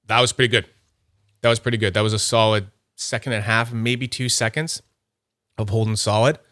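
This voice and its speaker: Chatty upbeat man, lowish dry voice. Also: fairly even intonation, fairly quick, fairly bright tone, no echo. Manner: chatty, thinks aloud while handling items; nerdy and upbeat. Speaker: YouTube fidget toy reviewer. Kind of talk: casual unboxing commentary.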